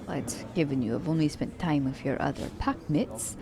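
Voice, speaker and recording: A woman's voice: deep voice, moderate pace, vocal fry, a crowd in the background. A woman playing a poised, teasing female assassin in an ASMR romance roleplay, speaking formally and condescendingly to her captive werewolf. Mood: calm, explaining. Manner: formal, slightly stilted wording, teasing. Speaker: voice actor.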